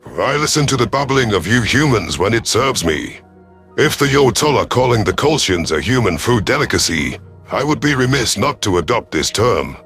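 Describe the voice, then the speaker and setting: A man narrating a sci-fi story in character as a stern, calculating alien commander, formal and deliberate, over music. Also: mid-range voice, very animated, fairly bright tone.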